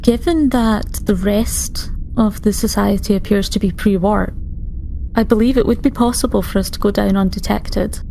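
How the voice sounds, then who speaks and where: A woman's voice: mid-range voice, fairly even intonation, moderate pace, vocal fry, dark tone, close to an everyday voice, low-quality recording. A woman playing a frank, concerned starship doctor in a sci-fi tabletop game, speaking formally and with hesitant care. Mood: careful. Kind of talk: improvised sci-fi tabletop roleplay. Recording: faint background noise.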